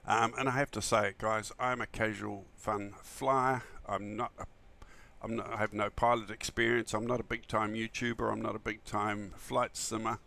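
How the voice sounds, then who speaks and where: A man with a croaky, just-woken voice, chatting casually and enthusiastically as a friendly flight simulator streamer who rambles a little. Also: on the low side, little pitch movement, normal speed, pushed and bright. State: early-morning croaky voice, still waking up. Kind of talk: casual livestream chat.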